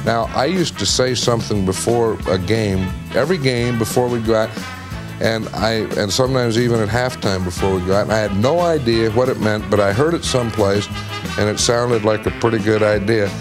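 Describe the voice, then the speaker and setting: Folksy guy telling a story, lowish voice, guitar music behind. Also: moderate pace. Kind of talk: interview anecdote.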